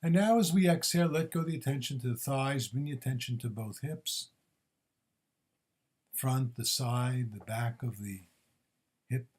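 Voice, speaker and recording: Male meditation teacher, medium pitch, measured and gently instructive, short phrases with pauses, guided body scan delivery. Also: husky.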